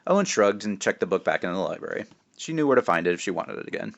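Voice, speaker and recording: Male author-reader for a children's novel, lowish voice, expressive and wry, drops in offhand asides. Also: very fast, creaky, muffled recording. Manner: expressive, self-interrupting, wry. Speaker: author reading aloud. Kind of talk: animated children's book read-aloud with casual asides.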